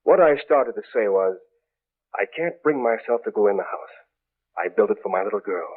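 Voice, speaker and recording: Grieving older landlord, lowish voice, subdued manner, old radio drama, phone-like sound. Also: male voice, animated, fairly quick, slightly rough. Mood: weary, sorrowful. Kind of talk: 1940s radio drama acting. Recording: tinny old radio recording.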